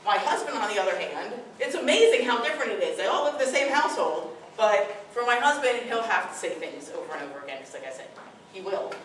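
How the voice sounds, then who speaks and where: A woman's voice: mid-range voice, sing-song, fast talker, slight background noise. A middle-aged woman with a strong voice speaking firmly and directly to a live audience at a parenting workshop. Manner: firm, direct, conversational. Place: room with audience. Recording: echoey room from far off.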